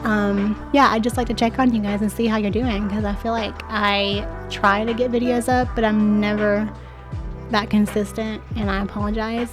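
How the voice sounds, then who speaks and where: A woman's voice: medium pitch, flat delivery, moderate pace, music in the background. Chatty woman with a stuffy nose. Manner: chatty and friendly, talks straight to viewers. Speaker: home decor vlogger. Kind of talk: voice-over narration. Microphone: voice-over mic.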